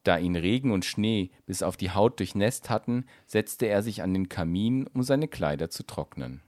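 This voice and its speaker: A man reading a fairy tale aloud as an expressive, scripted storybook narration. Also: on the low side, normal speed, no room sound, studio quality.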